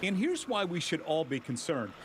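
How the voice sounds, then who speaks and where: Higher-pitched American newsman, noisy backdrop. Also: big pitch swings, normal speed. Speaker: TV news reporter and anchor. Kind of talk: scripted news narration.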